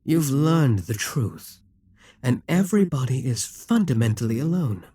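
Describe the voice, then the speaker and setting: A man's voice: mid-range voice, sing-song, a little slow, mellow, husky, no echo. A man voicing a possessive, scheming male demon king in an audio roleplay, teasing and condescending. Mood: scornful.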